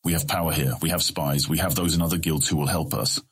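A man playing a menacing, gravel-deep crime boss. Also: fairly even intonation, fast. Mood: sarcastic fury. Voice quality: gravelly, grating. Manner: commanding, biting sarcasm. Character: furious, menacing male guild leader with famous temper.

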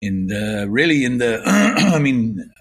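A man in his fifties with a Limerick Irish accent, recalling his past as an interview guest in an unhurried, reflective way. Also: mid-range voice, very animated, slightly rough. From Ireland. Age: 50s. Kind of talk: casual interview.

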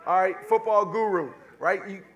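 A man's voice: high voice, moderate pace. A man hosting a live podcast Q&A, playful and hyping up the crowd. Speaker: podcast host. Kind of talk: live Q&A banter. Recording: live event with crowd behind.

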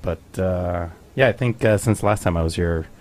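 A man's voice: low-pitched, normal speed, a little mellow. A man with a Texan accent, an easygoing guest chatting and laughing as he talks. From Texas. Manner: easygoing, laughs while talking. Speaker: guest pilot. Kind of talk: casual interview chat.

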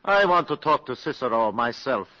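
A man playing a suspicious, calculating Nazi colonel in a 1950s radio drama, speaking in a formal, measured way. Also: mid-range voice, lively intonation, pushed and bright. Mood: cold suspicion. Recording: muffled, reverberant 1950s radio broadcast.

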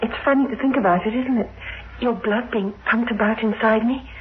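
A woman's voice: on the high side, a little fast, noisy background, lightly acted. An actress playing an innocent, trusting sixteen-year-old girl, light and naive, in 1960s radio drama style. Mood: bright curiosity. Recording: tinny old radio recording.

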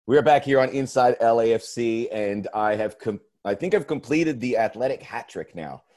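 An American man hosting a podcast in a middle-pitched voice. He talks earnestly and with emphasis, often doubling back to restart a phrase. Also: expressive, normal speed, darkish tone. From United States. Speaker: podcast host. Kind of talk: casual podcast talk.

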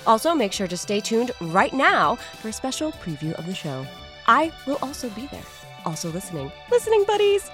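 A woman's voice: fairly high pitch, sing-song, fairly quick, over music. A woman presenting a scripted comedic podcast promo in a playful, quirky manner.

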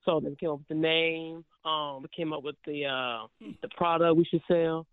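A man's voice: fairly high pitch, steady tone, moderate pace, clean tone, dry recording. A twenty-year-old man calling in, answering politely about his family business. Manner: polite, plain. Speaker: guest, family business owner. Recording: tinny phone line.

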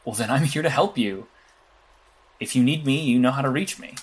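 A man plays a secretive vigilante in an audio drama. He has a slightly husky, mid-pitched voice and speaks in clipped, blunt, commanding phrases. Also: normal speed, lightly acted. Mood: calm, persuasive. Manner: clipped, matter-of-fact.

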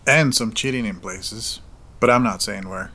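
An actor playing a proud, teasing middle-aged farm owner in an audio drama. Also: male voice, on the low side, normal speed, pushed and bright. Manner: teasing, expansive, fond. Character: Salvador, proud, playful older farm owner and father.

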